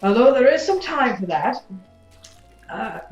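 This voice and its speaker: A woman's voice: on the high side, very animated, slow pace, vocal fry, darkish tone, roomy sound, highs cut off, soft background music, heavily acted. A woman playing a grandiose, effusively polite but unrepentant revolutionary zealot in an audio drama, speaking in formal, stilted phrases. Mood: defensive. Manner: formal, stilted, refers to self as "one".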